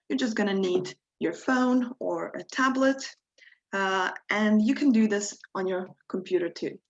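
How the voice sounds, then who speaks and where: A young woman walks viewers through a live online workshop, friendly and encouraging, slipping now and then on small grammar points. Her voice sits at a middling pitch for a woman. The stream audio is a little dull and lo-fi. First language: Croatian. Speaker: workshop leader.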